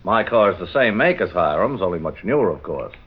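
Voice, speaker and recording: An actor playing a polite, measured, obliging motorist in an old-time radio drama. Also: male voice, low voice, very animated, breathy edge, exaggerated voice. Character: polite, smooth-talking stranded male motorist, helpful suspect. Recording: dull vintage radio recording.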